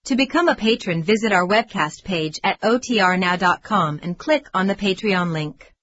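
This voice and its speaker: Woman reading podcast announcement, mid pitch, dry n slightly dull sound. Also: moderate pace, a bit bright, no room sound. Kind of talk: scripted announcement.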